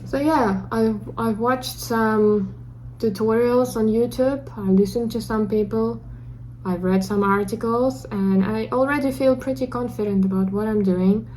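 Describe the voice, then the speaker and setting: A young woman with a Russian accent speaks in a soft, mellow voice, sincere and slightly self-conscious as she talks to her camera. A faint hiss sits under her voice. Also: on the high side, a little slow, low-quality recording. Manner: self-conscious, earnest, a little awkward. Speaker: vlogger. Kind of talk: casual talk to camera. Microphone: camera mic.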